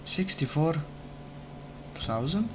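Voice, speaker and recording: A man whose first language is not English, casually commenting on his game as he plays, unhurried and thinking aloud, often correcting himself. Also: on the high side, big pitch swings, background noise. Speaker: let's play gamer. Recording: game music playing behind. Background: game music.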